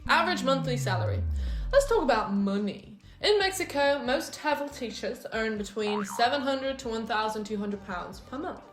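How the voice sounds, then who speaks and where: A woman's voice: on the high side, animated, normal speed, echoey room, faint music behind. A woman co-hosting a casual scripted chat, upbeat and laughing easily as she counts off points. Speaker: co-host.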